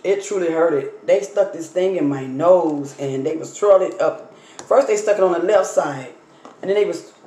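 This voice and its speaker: A woman in her thirties with a slightly hoarse voice, chatting casually to her viewers while unpacking and eating a takeout meal at her table. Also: lowish voice, darkish tone. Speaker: vlogger. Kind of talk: casual mukbang storytime chat. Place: dining table in a home room. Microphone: phone camera on the table. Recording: echoey room with faint noise.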